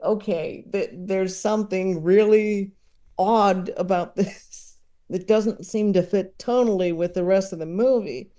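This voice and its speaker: Mellow guy as podcast guest, casual opinionated chat, kinda hesitant, thinking out loud. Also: sits high. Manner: hesitant, thinking aloud, analytical. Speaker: podcast guest, comedy enthusiast.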